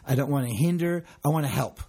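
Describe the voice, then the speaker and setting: A man co-hosting a discussion, talking passionately and emphatically, with a loud voice. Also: medium pitch, fast talker, husky. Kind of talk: casual discussion.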